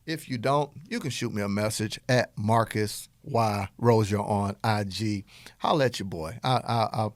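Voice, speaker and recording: A man with a Southern American accent, an energetic and folksy business coach talking straight to his viewers. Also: lowish voice, normal speed, no echo. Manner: energetic, animated, folksy with jokes and laughs. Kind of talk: direct-to-camera teaching talk.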